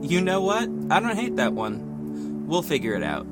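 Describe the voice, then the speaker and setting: A man's voice: on the high side, huge pitch swings, normal speed. Man acting a young vigilante superhero over music. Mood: teasing, relaxed. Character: young male vigilante superhero, cocky, caring, teasing. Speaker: lead actor and narrator of an audio drama. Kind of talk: scripted first-person narration and acted dialogue. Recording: music behind the voice.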